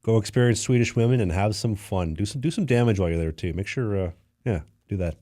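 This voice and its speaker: Quick-talking, mellow man, deadpan joker. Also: fairly low pitch. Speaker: podcast co-host. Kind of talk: casual banter.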